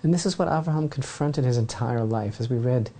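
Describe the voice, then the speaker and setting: Brief: male rabbi teacher, mellow warm tone, lively storyteller with asides. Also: mid-range voice, brisk. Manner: dramatic storytelling, asides to listeners. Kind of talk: Torah lesson retelling a legend.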